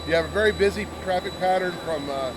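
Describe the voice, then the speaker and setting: Male interviewer, casual and chatty explainer, a touch high in pitch, recorded against noticeable engine noise. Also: fast talker. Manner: chatty, explains jargon to listeners. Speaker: interviewer and pilot. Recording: loud aircraft noise behind. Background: airplane and jet engine noise.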